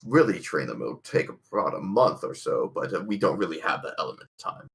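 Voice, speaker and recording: A man improvising in-character tabletop roleplay, speaking in a halting, stammering and rather formal way. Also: sits low, sing-song, vocal fry.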